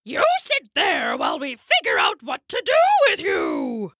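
A woman voicing a gloating, cruel goblin leader for a storybook, with a gravelly voice and a mocking, drawn-out delivery. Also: high voice, wildly swooping pitch, bright, forceful tone, no echo, studio quality, voice pushed up, full character voice. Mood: angry, scolding. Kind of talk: storybook character voice.

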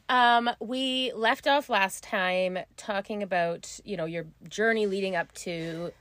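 A loud, bubbly woman co-hosting a spiritual podcast, chatting casually and laughing often. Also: mid-range voice, slow. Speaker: podcast co-host and spiritual teacher. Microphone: podcast mic.

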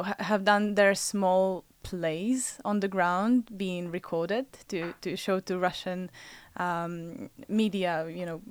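A woman speaking as a guest on a discussion panel, pausing often with fillers. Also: fairly low pitch, clear, resonant tone, no room sound. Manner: halting, many fillers.

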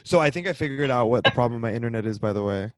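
A man's voice: medium pitch, expressive, very fast, no echo. A man co-hosting a podcast, joking around crudely in casual chat over a video call. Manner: joking, crude, mock-macho bravado. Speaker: podcast co-host.

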